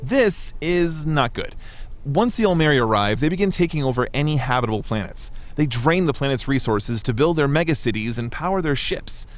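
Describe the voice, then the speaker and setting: Guy doing a fretful complaining ship doctor, higher voice, swings around a lot, space audio drama. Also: lively intonation, fairly quick, lightly acted. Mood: worried. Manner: complaining, questioning. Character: querulous, worried male ship's doctor.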